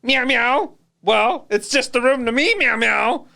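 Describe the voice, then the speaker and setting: A woman voicing an awkward, polite young gentleman cat, hesitant and stammering, with meows between phrases. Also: fairly high pitch, lively intonation, a little slow, creaky, heavily acted. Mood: polite, stiff.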